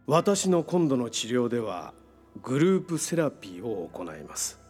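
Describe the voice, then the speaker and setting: A man's voice: medium pitch, big pitch swings, normal speed, darkish tone, soft background music, close to an everyday voice. An actor playing a confident psychotherapist who lectures in technical terms about his invention, in a radio drama. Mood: assured, explaining. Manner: lecturing, technical, self-assured. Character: confident male psychotherapist explaining his brain-linking invention.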